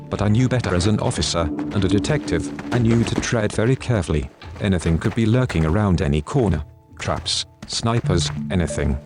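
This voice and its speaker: A man playing a serious, wary police detective with a measured delivery in a scripted audio drama. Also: low-pitched, close to an everyday voice. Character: dutiful, wary male police detective telling his story.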